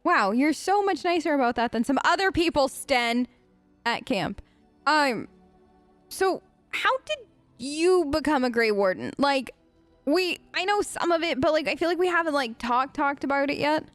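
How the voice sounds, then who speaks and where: A woman's voice: high-pitched, animated, normal speed, a bit bright, no room sound, soft background music. A woman doing casual let's play role-play, answering game characters in an informal, hesitant, playful way.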